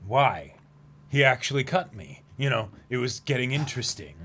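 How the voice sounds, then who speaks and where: Bright voiced guy co-hosting a stream, casual reactions, halting n awed, swears. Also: mid-range voice, big pitch swings. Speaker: co-host of a reading stream.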